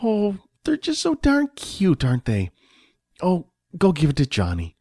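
A man voicing a fussy but soft-hearted wife and mother in a story, chiding and cooing. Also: mid-range voice, sing-song, moderate pace, breathy edge, no echo, clean studio sound.

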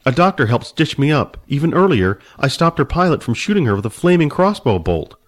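A man's voice: mid-range voice, very animated, fairly quick, voice pushed up, natural voice. A man reading a storybook aloud, voicing an earnest, hungry young barbarian man who talks plainly and straightforwardly.

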